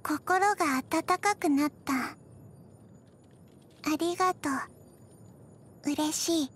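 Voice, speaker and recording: A woman voicing a small undead girl in a video game, gentle and forgetful, speaking in halting, deadpan, soft phrases. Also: very high voice, a little hiss or hum, heavily acted. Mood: quietly tender. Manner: halting, deadpan, soft-spoken with frequent pauses. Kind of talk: scripted video game character voice lines.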